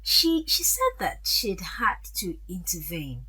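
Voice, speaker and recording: Woman acting a husky, animated society aunt. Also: on the low side, slow pace, heavily acted, low-quality recording. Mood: confiding, animated. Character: wealthy older aunt, gracious, chatty, fond of her nephew. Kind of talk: audio drama dialogue.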